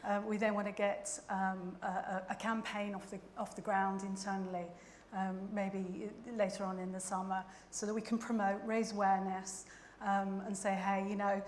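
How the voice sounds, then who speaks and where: A woman's voice: medium pitch, little pitch movement, moderate pace, roomy sound, a little hiss or hum. A woman speaking as a manager on a conference panel, hesitant with many fillers. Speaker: global vendor manager. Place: conference hall.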